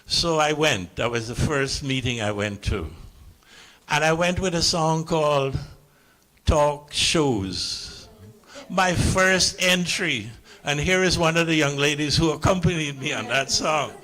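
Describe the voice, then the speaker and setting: A man's voice: on the high side, animated, a little slow, pushed and bright. A middle-aged man with a Caribbean English accent, a calypsonian telling a chuckling story to a live audience on stage.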